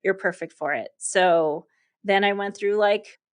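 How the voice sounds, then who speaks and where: A woman's voice: medium pitch, unhurried, clean tone. Chatty woman who laughs a lot. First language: English. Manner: chatty, laughs often, many fillers. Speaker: podcast host, interviewed guest. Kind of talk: casual interview conversation.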